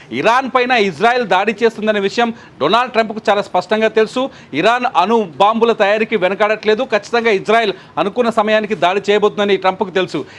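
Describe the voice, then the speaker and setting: A man speaks as a news analyst in a high voice. He talks quickly, explaining the situation and repeating points for emphasis. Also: fairly bright tone. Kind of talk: live news analysis and commentary.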